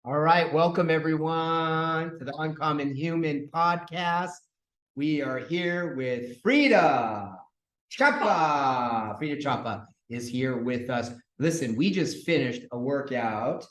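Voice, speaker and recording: A man's voice: on the high side, takes it slowly, fairly bright tone. Easygoing male host in an echoey room. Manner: easygoing, encouraging, repeats guest's answers. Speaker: podcast host and fitness coach. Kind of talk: casual interview.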